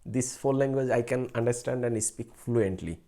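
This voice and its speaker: A man's voice: medium pitch, moderate pace, mellow. A young man from Bangladesh, a native Bengali speaker with a Bangladeshi accent, chatting casually on a live stream and rambling with fillers and self-corrections. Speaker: researcher doing a live stream.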